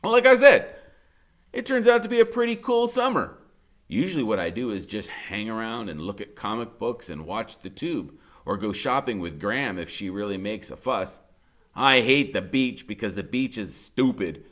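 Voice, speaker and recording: Animated man reading a story aloud. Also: lowish voice, moderate pace. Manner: conversational, wry, dramatic. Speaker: audiobook reader. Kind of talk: expressive first-person story narration.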